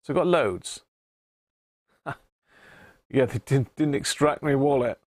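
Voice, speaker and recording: A man hosting a casual live stream about radio repairs, croaky today, chatting and greeting viewers by name. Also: mid-range voice, takes it slowly, no echo, clean studio sound. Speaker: radio repair livestream host.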